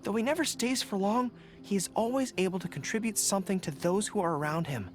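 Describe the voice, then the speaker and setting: A man's voice: sits high, fairly quick, faint music behind, close to an everyday voice. An actor playing an earnest, spirited young male army general in a video game, speaking sincerely. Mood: respectful, thoughtful. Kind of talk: video game character voice lines.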